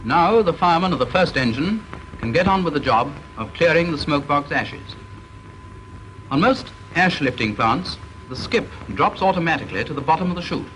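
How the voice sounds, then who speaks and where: A man's voice: on the high side, lively intonation, a bit bright, faint music behind, low-quality recording. Stern British man narrating an old training film. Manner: measured, instructive and stern. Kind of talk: formal scripted narration. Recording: vintage film soundtrack with faint hiss.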